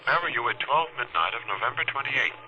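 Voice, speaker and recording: A man's voice: medium pitch, animated, quick pace. An actor playing a stern police interrogator with formal, accusing questions, his voice distant and filtered, in 1940s radio drama style. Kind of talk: 1940s radio horror melodrama acting. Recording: scratchy, noisy, phone-like 1940s radio recording.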